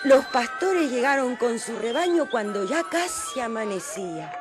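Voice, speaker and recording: A woman playing a mother fondly recalling her son's birth in a radio drama, telling it like a warm storyteller. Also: a little high, lively intonation, measured pace, over loud music. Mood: fond remembering.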